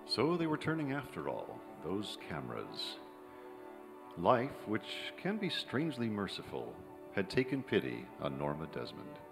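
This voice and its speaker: Deep-voiced, cynical noir narrator. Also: male voice, huge pitch swings, measured pace, creaky, over music, heavily acted. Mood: somber. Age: middle-aged. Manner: dramatic, wry. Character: cynical, wry dead screenwriter narrating his own murder. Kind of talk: 1950s radio noir narration. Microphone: vintage-style stand microphone on stage. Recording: live theatre, long hall reverb, audience.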